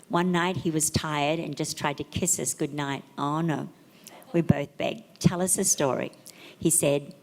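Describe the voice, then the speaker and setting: A middle-aged woman with a low voice reads written tributes aloud at a memorial service. She takes it gently and with measured pauses between phrases. The hall adds a long, lingering reverb. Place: memorial service venue, large room.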